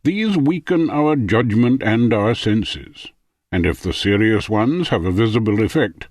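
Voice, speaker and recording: A man reading a philosophical audiobook in a formal, measured, rhetorical way. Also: medium pitch, darkish tone, no echo. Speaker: audiobook narrator. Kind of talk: scripted audiobook narration of philosophy.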